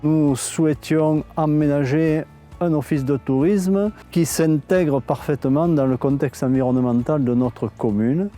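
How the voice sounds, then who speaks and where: A man giving a measured, slightly hesitant explanation in an interview, in the manner of a local official. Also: fairly high pitch, mellow, soft background music.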